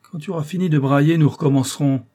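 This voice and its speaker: A man's voice: fairly low pitch, moderate pace, darkish tone, slightly rough. A man voicing a menacing violin teacher of about thirty in an audiobook story, barking orders and scolding. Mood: cold, scolding.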